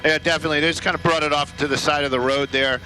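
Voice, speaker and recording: An American man working as an aerial news reporter, giving halting, repetitive, ad-libbed live commentary on breaking news. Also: on the high side, fairly even intonation, pushed and bright, a little hiss or hum.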